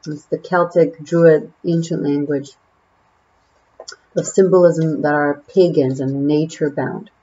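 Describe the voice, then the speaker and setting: A woman's voice: low-pitched, fairly even intonation, slow, dark tone, muffled recording. Israeli woman, chatty tarot reader. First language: Hebrew. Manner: chatty and musing, trailing off with fillers. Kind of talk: casual tarot reading to camera.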